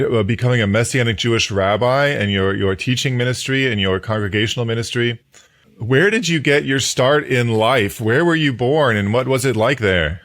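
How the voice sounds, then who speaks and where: A man's voice: mid-range voice, a bit bright. A man hosting a podcast, introducing his guest and asking interview questions. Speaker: podcast host.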